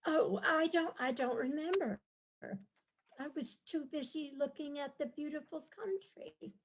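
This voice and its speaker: A woman acting a high-voiced, wide-eyed city wife. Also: a little slow, slight background noise. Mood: dreamy, reasoning. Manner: chatty, dreamy, easily excited. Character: cheerful, wide-eyed New York wife charmed by the countryside. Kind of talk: staged reading of a comic play.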